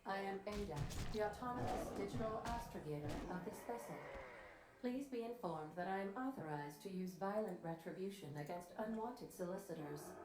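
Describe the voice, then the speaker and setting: Game role, female actor: courteous but menacing spaceship AI, measured deadpan delivery, echoey sound with noticeable noise behind. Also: medium pitch, lightly acted. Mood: coolly threatening. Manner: formal, deadpan, measured announcements. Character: polite, menacing female spaceship computer intelligence. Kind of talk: video game character acting. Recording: echoey, with clear background noise.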